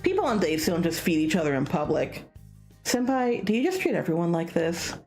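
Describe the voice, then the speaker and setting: A woman's voice: on the low side, very animated, brisk, darkish tone. A woman playing a shy, easily exasperated young man in a visual novel. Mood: hesitant, questioning. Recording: music behind the voice.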